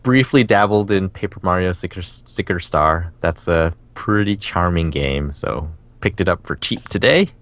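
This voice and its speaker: A man's voice: low-pitched, normal speed, hardly any pauses, fairly bright tone. A man co-hosting a casual video game podcast, rambling along enthusiastically and often breaking into laughter as he talks. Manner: laughs often while talking. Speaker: podcast co-host.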